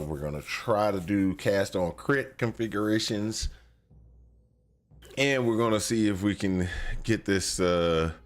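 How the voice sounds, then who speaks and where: A young man streaming a video game, talking casually with many fillers and restarts while sniffling from a cold. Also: low voice. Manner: rambling, lots of fillers and restarts. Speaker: gaming streamer. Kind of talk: casual gameplay talk.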